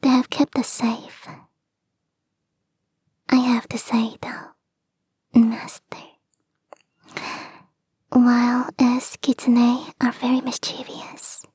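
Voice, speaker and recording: A woman playing a clingy, teasing fox spirit girlfriend in an intimate ASMR roleplay, speaking in a soft, breathy whisper. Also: on the high side, monotone, slow, long pauses, no room sound, full character voice. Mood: tender, proud. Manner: coy, doting, playfully teasing. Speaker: voice actor in a roleplay.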